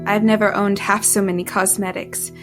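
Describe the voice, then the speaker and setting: A woman acts an earnest, troubled young heroine in a period audio drama. Her voice sits at a middle pitch and her phrasing is careful and formal. Music plays clearly behind her. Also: level tone, normal speed, natural voice. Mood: tense, haunted. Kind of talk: dramatic first-person narration and period drama acting.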